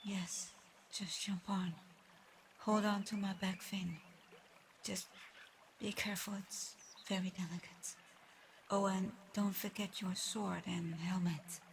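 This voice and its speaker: A woman acts out a female water dragon in an ASMR roleplay, proud and guarded yet softening. She talks slowly at a medium pitch, in a soft, teasing way that often drops to a breathy murmur. Clear ambient noise runs behind the voice. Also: flat delivery. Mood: gentle, careful. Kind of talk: ASMR roleplay, scripted character monologue.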